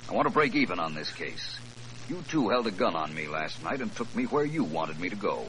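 An actor playing a cool, urbane, self-assured private detective in 1940s radio style. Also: male voice, low voice, big pitch swings, brisk, a bit bright. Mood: cool, wry. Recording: noisy 1940s radio recording.

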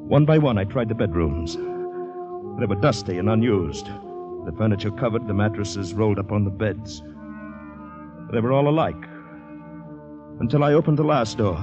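An actor playing a distraught, haunted man in a 1940s radio drama, telling his story in breathless, halting dramatic phrases. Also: medium pitch, lightly acted. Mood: tense, hushed dread. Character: distraught haunted man recounting finding a murdered woman. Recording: muffled old radio broadcast recording.

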